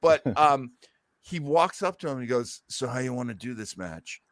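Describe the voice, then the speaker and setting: A man chatting casually and telling stories in an animated, emphatic way. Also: mid-range voice, moderate pace, no echo, studio quality. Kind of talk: casual chat about pro wrestling.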